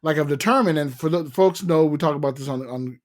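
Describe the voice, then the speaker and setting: A man's voice: a little high, fairly quick. A man hosting a casual podcast interview, rambling and repeating words as he searches for what to say. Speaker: podcast host.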